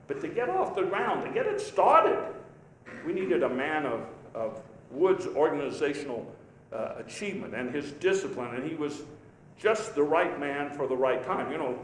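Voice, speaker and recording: Male actor as vigorous patriotic old statesman at the podium, live reenactment; high, animated oratory, folksy pauses, echoey room. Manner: emphatic, folksy, halting with rhetorical pauses. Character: Theodore Roosevelt, vigorous patriotic elder statesman giving a speech. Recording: echoey live hall, faint background noise.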